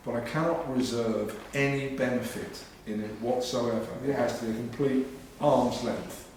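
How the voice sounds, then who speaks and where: A man, a tax adviser on a panel, calmly laying out rules point by point. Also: medium pitch, moderate pace. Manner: methodical, lays out rules point by point. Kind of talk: expert explanation on a panel. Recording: echoey room, distant mic, noisy.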